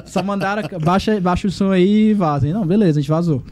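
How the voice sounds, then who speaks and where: A young man from Brazil with a Piauí accent, a guest chatting casually on a podcast, telling stories in a relaxed way with quick asides. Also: high-pitched, slight background noise. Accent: Piauí Portuguese. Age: young adult. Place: podcast studio.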